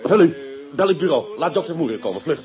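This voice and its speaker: Actor as brisk, firm police inspector, voices behind. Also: male voice, on the high side, lively intonation, highs cut off, crowd noise, lightly acted. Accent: Netherlands Dutch. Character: male police inspector, businesslike, brisk, patient but firm. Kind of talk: radio play acting.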